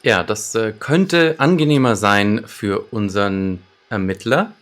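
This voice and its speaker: A man's voice: lowish voice, a little slow, a bit bright. A man co-hosting a podcast, presenting and discussing an audio drama episode. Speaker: podcast co-host. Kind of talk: scripted episode summary and discussion.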